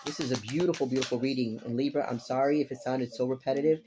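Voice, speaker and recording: A man with a raspy voice giving a rambling, blunt tarot reading at a table, without a dedicated microphone. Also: mid-range voice, steady tone, fast, background noise. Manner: rambling and blunt, with casual swearing. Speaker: tarot reader. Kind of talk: casual tarot reading to camera. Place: indoors at a table covered with cards.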